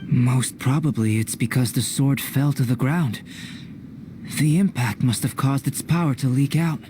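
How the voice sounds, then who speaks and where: A man acts out a young game alchemist with a calm, detached air. He speaks formally and precisely, like a scholar at work, with clear noise behind his voice. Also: mid-range voice, natural voice. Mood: calm, analytical. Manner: measured, formal, analytical delivery. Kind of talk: scripted video game cutscene acting.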